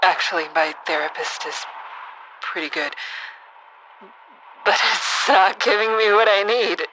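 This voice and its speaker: Audio drama role: fragile survivor, a bit drunk, halting and strained, on a noisy voicemail. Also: female voice, mid-range voice, pushed and bright. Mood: despairing, searching. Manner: halting, hesitant, with nervous laughs. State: tipsy. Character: troubled, fragile woman, a shooting survivor leaving a voicemail. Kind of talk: naturalistic audio drama acting.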